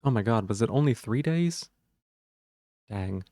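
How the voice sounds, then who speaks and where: A man with a gravelly voice and a sore throat, rambling casually to viewers on a livestream while checking things aloud. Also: mid-range voice, expressive, unhurried, warm tone, no room sound, studio quality.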